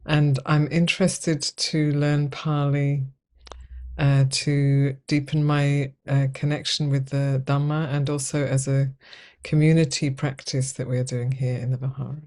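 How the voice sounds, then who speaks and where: A woman from Wales, a Buddhist nun, introducing herself to a class over a video call, unhurried and with hesitant ums. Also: low-pitched, level tone, darkish tone.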